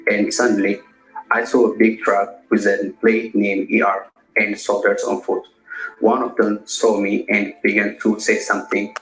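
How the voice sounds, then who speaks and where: A man voicing a flat-toned young man. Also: on the low side. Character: young man of nineteen, shot while fleeing. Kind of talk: scripted first-person testimony. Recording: echoey room with faint music.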